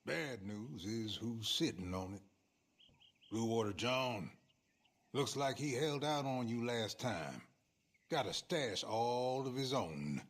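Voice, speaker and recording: An actor playing a shady, folksy frontier fixer in a video game, with an American accent and a knowing drawl. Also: male voice, medium pitch, lively intonation, takes it slowly. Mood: sly, ominous. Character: shady male frontier fixer, sly, folksy outlaw schemer. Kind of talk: video game cutscene acting.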